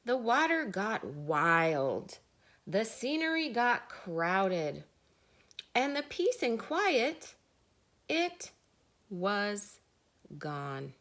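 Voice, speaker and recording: A woman, a teacher, reading a children's story aloud with deliberate dramatic pauses. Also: mid-range voice, big pitch swings, a bit bright.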